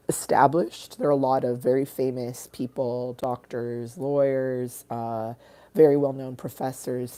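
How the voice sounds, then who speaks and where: A woman's voice: a bass voice, mellow. A woman who is an artist hosting a casual livestream, rambling candidly and swearing freely.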